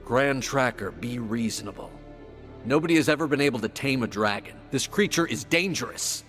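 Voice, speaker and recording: An actor playing an earnest, sober and resolute tracker in a fantasy game. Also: male voice, medium pitch, lively intonation, moderate pace, a bit bright, soft background music, natural voice. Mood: wary, pleading. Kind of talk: dramatic fantasy game acting.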